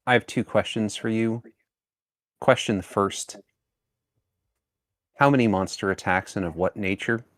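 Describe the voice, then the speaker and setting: A man playing a tabletop roleplaying game, speaking in character with formal, deliberate phrasing. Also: lowish voice, fairly bright tone, dry recording.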